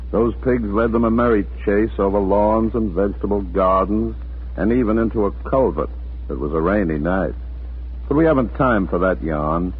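A man's voice: lowish voice, breathy edge. A man narrating a 1940s radio drama as a folksy storyteller, scripted and familiar. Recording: muffled, narrow 1940s radio recording.

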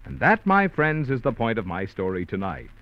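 A man's voice: medium pitch, sing-song, moderate pace. A man announcing a scripted vintage radio advertisement with a polished salesman's delivery. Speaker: commercial announcer. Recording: muffled old radio recording.

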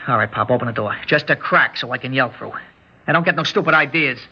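A man's voice: medium pitch, fast talker, husky. An actor playing a cocky, jumpy young gunman with tough, slangy threats in an old-time radio drama. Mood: belligerent. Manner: tough slangy street talk, barked threats. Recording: muffled old radio recording.

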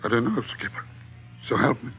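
An actor playing a desperate, battered ship's mate with a gravelly, husky voice in an old radio drama. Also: male voice, mid-range voice, big pitch swings, fairly quick, soft background music. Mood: shaken, dazed. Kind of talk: classic radio drama acting. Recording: dull vintage radio recording.

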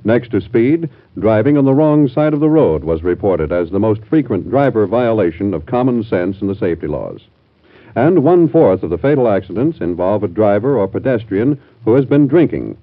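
A man making a formal announcement in vintage radio style. His low voice moves animatedly and stresses key words. The sound is thin and tinny, from an old broadcast. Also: sing-song, normal speed. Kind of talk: 1940s radio public service announcement.

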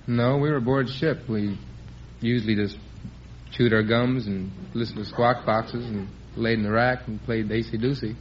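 A man's voice: medium pitch, steady tone, normal speed. A young man, a quiz contestant, giving plain, matter-of-fact answers in a radio interview. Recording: muffled old radio with faint noise.

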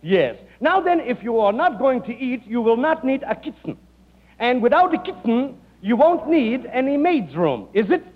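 Very high-pitched, oily moneylender. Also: male voice, sing-song, normal speed. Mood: unctuous. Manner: over-polite, formal, mangled foreign grammar. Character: fussy, oily, foreign finance company man. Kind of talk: 1940s radio comedy acting. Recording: dull, noisy old radio recording, hall reverb.

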